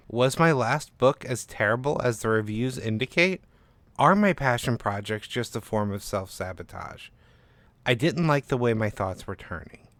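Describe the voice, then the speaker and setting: A man's voice: medium pitch, fairly bright tone, no room sound. A man reading scripted first-person narration as the author and narrator of a short story.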